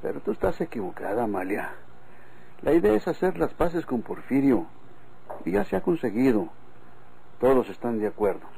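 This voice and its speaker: Creaky, easygoing Mexican husband. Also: male voice, medium pitch, big pitch swings, normal speed, long pauses, mellow, low-quality recording. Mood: complacent, reassuring. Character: easygoing, trusting, sleepy country husband. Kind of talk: old Mexican radio drama acting. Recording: old radio recording, faint noise.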